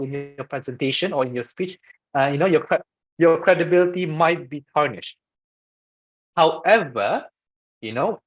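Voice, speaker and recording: A man speaking English with a Malaysian accent, fielding questions on a webinar in a fairly high voice. He keeps checking in with his listeners as he explains. His audio comes through a dull, lo-fi video call. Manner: repeats "okay" and "right" to check in. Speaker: guest speaker. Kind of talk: webinar talk answering questions.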